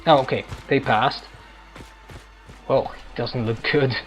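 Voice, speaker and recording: Guy playing a game and thinking out loud in short bits, takes his time, game music behind him. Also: mid-range voice, steady tone, slow. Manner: musing aloud in short broken remarks. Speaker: gamer commentating on a playthrough. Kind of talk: casual game commentary. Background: loud video game music.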